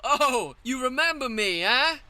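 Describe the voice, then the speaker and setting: A man playing a menacing, gleeful gangster hitman in a comedic radio play, with a slow, mocking tough-guy delivery. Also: extremely high pitch, animated, bright, forceful tone, no room sound, exaggerated voice.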